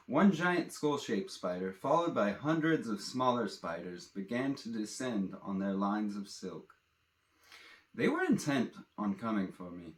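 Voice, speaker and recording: A man telling a fantasy adventure story in a dramatic, theatrical way, addressing listeners directly. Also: medium pitch. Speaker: storyteller and author reading his own fantasy tale. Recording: roomy echo.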